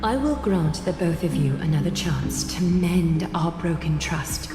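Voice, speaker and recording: A woman voicing a regal, serene female leader in a video game, speaking formally with measured, commanding poise and a quiet menace. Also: fairly low pitch, close to an everyday voice. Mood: cold, stern. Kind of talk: scripted video game voice acting. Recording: echoey with loud music behind. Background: loud music.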